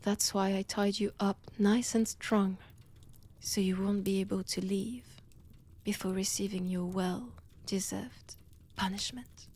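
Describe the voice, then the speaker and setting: A woman's voice: medium pitch, steady tone, a little mellow, husky. A woman with a French accent playing a centuries-old vampire, wounded and obsessive, in an intimate roleplay audio, often dropping into whispers. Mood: menacing. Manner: slow, deliberate, theatrical with long pauses.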